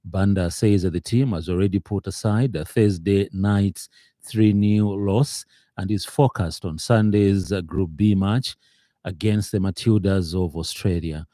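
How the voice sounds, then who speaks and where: A man with a Zambian accent reading a scripted radio news bulletin in a formal, somewhat halting manner. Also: low voice, fairly even intonation, mellow, no echo, clean studio sound. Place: radio studio.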